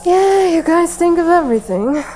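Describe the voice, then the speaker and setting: A woman's voice: extremely high pitch, expressive, moderate pace, warm tone, slight background noise. A woman acting a cocky, sarcastic captive in an audio drama, teasing and narrating in a wry, slangy way. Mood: wry, exasperated.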